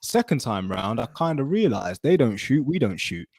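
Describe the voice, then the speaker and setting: A man's voice: medium pitch, sing-song, moderate pace, a little mellow, no echo. Casual British man with a dry, close sound. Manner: emphatic, slangy, swears. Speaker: podcast host; military veteran. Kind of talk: opinionated casual podcast chat.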